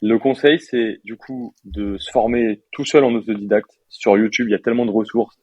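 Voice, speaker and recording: A young man in his twenties from France, a software developer chatting casually in an interview with lots of fillers and slang. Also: lowish voice, fairly even intonation, moderate pace, no echo. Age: 20s.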